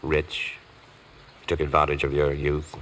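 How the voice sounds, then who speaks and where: Casting: smitten, insistent barrister, deep voice, urgent and protective, 1950s radio drama style. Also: male voice, monotone, unhurried, a bit bright, slight background noise. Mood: earnest, probing. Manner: hesitant starts, insistent, urgent. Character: earnest, stubborn male defence barrister, protective and smitten. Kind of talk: old-time radio drama acting.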